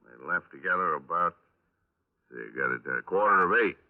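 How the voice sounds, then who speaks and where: A man acting a folksy, unhurried police detective in a 1950s radio drama, speaking in a hesitant, rambling, casual way. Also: sits low, expressive. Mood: matter-of-fact. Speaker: actor in a radio crime drama. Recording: narrow-band vintage 1950s radio recording.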